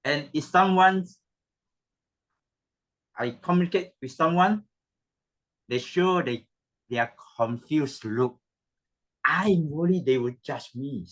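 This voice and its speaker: A man, a non-native English speaker, giving a slow, halting panel talk at a club meeting and sometimes repeating himself. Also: a little high, a bit bright, low-quality recording. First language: not English.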